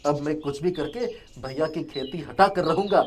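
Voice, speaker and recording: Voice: medium pitch, moderate pace, slight background noise, close to an everyday voice. An actor playing a resentful, scheming young farmer, a younger brother, with a sulky, complaining manner.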